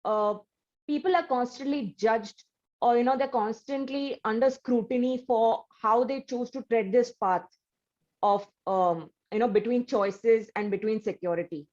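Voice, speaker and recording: An effusive woman hosts an interview, often stumbling and restarting as her thoughts run ahead of her. Her voice sits fairly high. The recording has a slightly dull, lo-fi sound. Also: unhurried, a little mellow. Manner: effusive, with frequent restarts and fillers. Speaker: interview host.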